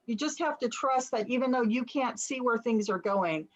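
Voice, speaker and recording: A woman's voice: on the high side, expressive, normal speed. A woman giving a casual, conversational reading as a tarot reader over a video call, reassuring and encouraging. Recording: slightly muffled video call audio.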